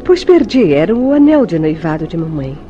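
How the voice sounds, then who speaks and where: Noiva brasileira evasiva de radionovela, entonação muito variada. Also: female voice, fairly low pitch, big pitch swings, normal speed, dark tone, highs cut off, faint music behind. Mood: airy, evasive. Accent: Brazilian. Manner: theatrical, formal diction. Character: composed, evasive young bride Gilda, lightly amused. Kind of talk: vintage Brazilian radio soap acting.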